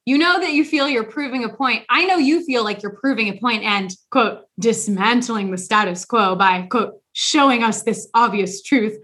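A woman hosting a casual podcast chat, talking in an animated, rambling way with many restarts. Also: on the high side, moderate pace, hardly any pauses. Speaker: podcast host.

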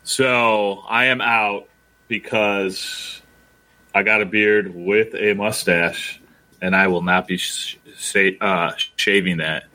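A man co-hosts a casual history podcast, his voice sitting slightly low but coming across bright and forceful. He jokes, rambles and pokes fun at himself. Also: fairly even intonation, takes it slowly. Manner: joking, rambling, self-mocking. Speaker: podcast co-host. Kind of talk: casual chat.

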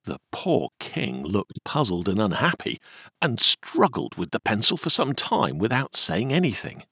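Measured, husky, very animated storyteller. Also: mid-range voice, no echo, clean studio sound. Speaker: audiobook narrator. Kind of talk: expressive children's storybook narration.

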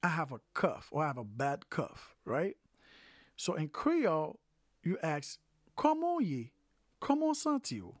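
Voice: medium pitch, huge pitch swings, unhurried, no echo, clean studio sound. A language teacher giving an informal lesson, repeating each phrase several times, with some hesitation and self-correction.

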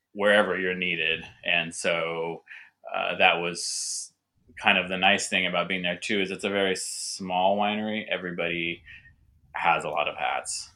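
Guest, male, stutters; deep and bright, halting delivery. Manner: hesitant, with restarts and repeated words. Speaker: winemaker guest. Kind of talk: casual podcast interview.

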